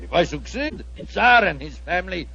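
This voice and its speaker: An actor playing a proud, indignant Russian diplomat with a Russian accent and declamatory 1940s radio delivery. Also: male voice, high voice, big pitch swings, normal speed, creaky, bright, forceful tone, breathy edge, full character voice. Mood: pompous. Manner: declamatory, emphatic. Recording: muffled 1940s radio recording.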